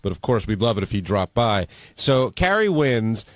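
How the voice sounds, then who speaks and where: A man's voice: lowish voice, very animated, normal speed, no echo. A man co-hosting a radio wrap-up show, steering the chat with short questions and taking calls.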